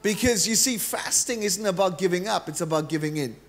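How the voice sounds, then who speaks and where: A middle-aged Welsh man preaching a lively, joking sermon from a large church stage into a handheld mic. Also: high voice, fairly quick. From Wales. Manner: energetic, joking preacher, gestures freely while pacing the stage. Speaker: guest preacher.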